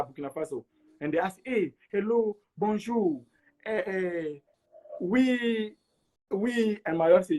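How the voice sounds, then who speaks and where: Higher-voiced Togolese man telling his story. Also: a little mellow. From Togo. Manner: repeats words for emphasis, acts out quoted dialogue. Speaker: interview guest. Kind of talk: animated personal storytelling.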